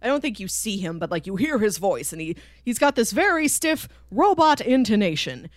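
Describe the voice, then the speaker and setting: A woman's voice: medium pitch, sing-song, moderate pace, no echo, studio quality. A woman hosting a podcast, talking casually and explaining with enthusiasm about a series she enjoys. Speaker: podcast host.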